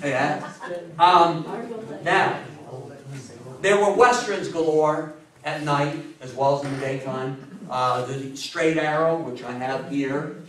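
A man in his sixties giving an animated, informal talk to an audience, exclaiming and asking them questions with enthusiasm. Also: a little high, slow. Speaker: lecturer. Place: indoor meeting room before a seated audience. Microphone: distant camera mic. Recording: echoey room, distant mic, audience noise.

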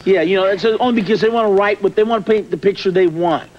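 A man's voice: high-pitched, fast, dull audio, a little hiss or hum. A man on a TV talk show set, speaking bluntly and heatedly into a desk microphone. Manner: loud, blunt, worked-up ranting. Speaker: guest comedian. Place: TV studio set.

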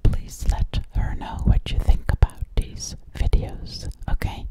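A woman's voice: takes it slowly, lightly acted. A woman playing a sweet, slightly sassy alien girl in an ASMR roleplay, whispering breathily close to the microphone and repeating her words soothingly. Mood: sweet, pleading. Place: dim living room indoors. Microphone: close-up at a large ASMR microphone.

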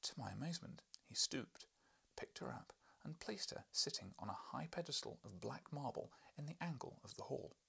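A man's voice: medium pitch, lively intonation, normal speed, gravelly, bright, forceful tone, breathy edge, whispered. A man in his thirties reading literary narration as an audiobook narrator. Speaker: audiobook reader. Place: furnished book-lined room, indoors.

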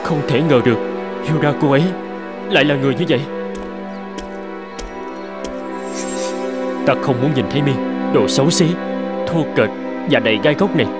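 A man's voice: mid-range voice, quick pace, natural voice. Man voicing a brooding young carpenter over music. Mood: bitter, hurt. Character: big humble young carpenter, lovelorn, brooding, easily wounded. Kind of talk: animated fairy tale dubbing. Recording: background music under the dialogue.

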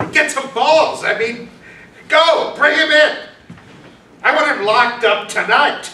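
A man playing a gruff, impatient, weary older police chief in a staged play reading. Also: very high voice, slow pace, pushed and bright, heavily acted. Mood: exasperated. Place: small hall, staged reading before an audience. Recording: echoey room from far off, faint noise.

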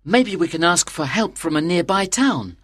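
A woman voicing an anxious, cautious train passenger in an audiobook drama, earnest and deliberate. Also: fairly low pitch, expressive, a bit bright, breathy edge. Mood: resolute. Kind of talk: dramatized graded-reader audiobook.

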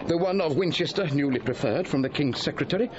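An actor playing a sociable, gossipy gentleman eyewitness in a Shakespearean audio drama, with an animated, relishing delivery. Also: a little high, quick pace, close to an everyday voice. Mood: chatty, assured. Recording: dull audio with background noise.

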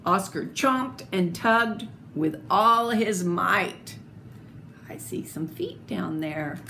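Slow older woman reading a storybook, noisy recording. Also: medium pitch, animated, bright, forceful tone. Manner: slow, deliberate, theatrical phrasing. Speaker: storyteller reading picture books aloud. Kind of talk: expressive children's storybook read-aloud with asides. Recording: clearly noisy home video recording.

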